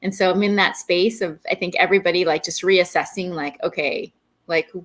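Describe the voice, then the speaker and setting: A woman's voice: on the low side, brisk. A woman in her forties, a guest poet, talking candidly and casually on a video call, now and then swearing. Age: 40s. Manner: relaxed and candid, with mild swearing.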